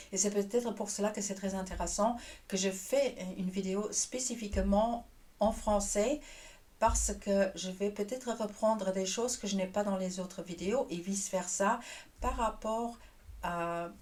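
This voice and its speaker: A woman with a Luxembourgish accent, a native Luxembourgish speaker, introducing herself on her channel as a tarot reader and pausing often to find her French words. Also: mid-range voice, a little mellow. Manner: hesitant, searching for French words with restarts. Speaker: tarot reader and energy worker. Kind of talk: casual YouTube introduction.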